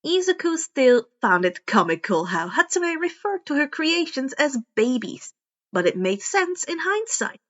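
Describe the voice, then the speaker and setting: A woman narrates a scripted story. Her voice sits very high for a woman. The recording is a touch dull and lo-fi. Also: very animated, a little slow, fairly bright tone. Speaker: podfic narrator.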